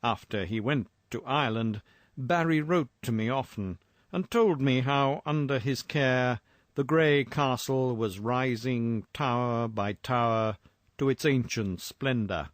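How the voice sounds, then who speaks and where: A man reading a first-person horror story in a measured, formal, dramatic storytelling manner. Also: medium pitch, no echo, studio quality.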